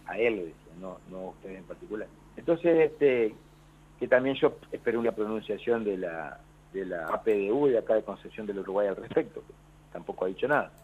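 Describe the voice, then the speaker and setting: A man's voice: fairly low pitch, expressive, fairly quick, husky. An Argentine man, a union leader calling in to a radio show, talking in a rambling way with many restarts. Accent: Argentine Spanish. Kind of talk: phone-in radio interview. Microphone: telephone. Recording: tinny phone line.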